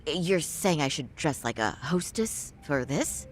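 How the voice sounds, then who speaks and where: A woman voicing a reserved, dryly polite young lawyer in a video game English dub, measured and understated. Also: sits low, expressive, faint music behind. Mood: reluctant.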